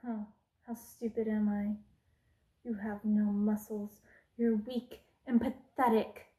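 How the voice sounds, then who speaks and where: A woman's voice: medium pitch, flat delivery, slow pace, warm tone, roomy sound, close to an everyday voice, rough recording. A woman playing a cruel, haughty evil queen in an ASMR roleplay, imperious and scornful, sometimes slipping into whispers. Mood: contemptuous, menacing.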